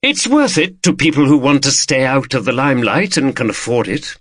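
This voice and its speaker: A man's voice: medium pitch, very animated, brisk, a bit bright, no echo, studio quality, voice pushed up. A man voicing a wealthy, haughty woman collector in an audiobook, gracious, discreet and imperious. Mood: discreet, assured.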